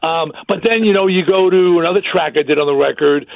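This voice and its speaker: An older man with a gravelly voice, chatting casually as a radio co-host and telling stories with many restarts. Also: a little high, fairly quick, dry recording, highs cut off. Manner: talkative, storytelling with restarts. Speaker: radio co-host and rock singer. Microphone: studio microphone.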